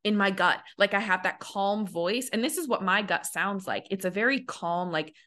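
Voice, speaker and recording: Young woman, podcast host, bright clear tone, chatty, filler-heavy, acts out quotes. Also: mid-range voice, normal speed. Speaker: money coach and podcast host. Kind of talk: casual podcast monologue.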